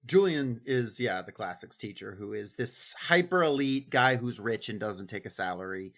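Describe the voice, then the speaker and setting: A man's voice: lowish voice, lively intonation, moderate pace, fairly bright tone. A man on a podcast panel chatting casually about a book in a wry, informal way with loosely strung phrases.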